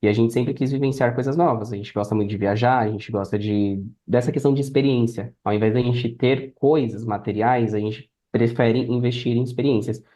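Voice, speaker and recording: A Brazilian man around thirty with a mellow, relaxed voice. He talks casually about his own experience, as a guest on a podcast. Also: medium pitch, fairly quick, few pauses. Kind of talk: casual personal storytelling.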